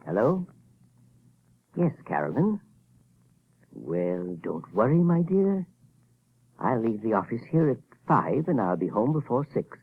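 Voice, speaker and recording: An actor playing a shifty, nervous professor in an old radio mystery, stammering and blustering. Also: male voice, mid-range voice, sing-song, measured pace, pauses often, slightly rough, full character voice. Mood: uneasy. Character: shifty, nervous, crooked male professor with a guilty secret. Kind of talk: 1940s radio mystery acting. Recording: noisy, tinny old radio recording.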